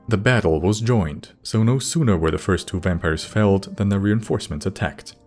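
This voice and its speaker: A man's voice: sits low, a little mellow. A man narrating a scripted fantasy story as an audiobook, reading descriptive third-person prose.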